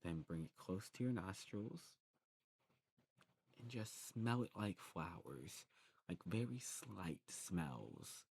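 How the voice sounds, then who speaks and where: A man's voice: low-pitched, animated, slow pace, darkish tone, full character voice. A man playing a demon snuff shopkeeper in an ASMR roleplay, explaining patiently in a hesitant, rambling way. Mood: patient, instructive. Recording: clearly noisy background.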